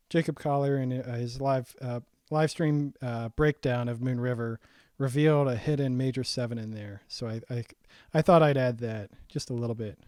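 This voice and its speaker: A young man walking through his own saxophone arrangement in a casual, halting way, full of ums and restarts, his voice getting raspy. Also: mid-range voice, a little mellow, dry recording, studio quality. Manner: halting, many ums and restarts, thinking aloud. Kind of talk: casual screen-share breakdown.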